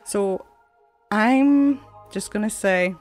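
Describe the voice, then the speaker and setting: A woman's voice: medium pitch, drawn-out words, darkish tone. A woman giving casual, chatty let's play commentary in a rambling, conversational way. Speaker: gaming channel host. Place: voice-over on game footage. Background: game music.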